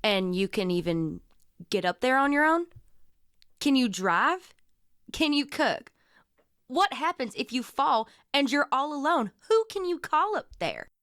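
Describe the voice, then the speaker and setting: A woman's voice: sits high, big pitch swings, unhurried, a bit bright, no room sound, studio quality, heavily acted. A woman playing a blunt, protective, no-nonsense sister with an American accent in a naturalistic radio drama. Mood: firm, caring. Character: blunt, protective adult sister, Texan mother.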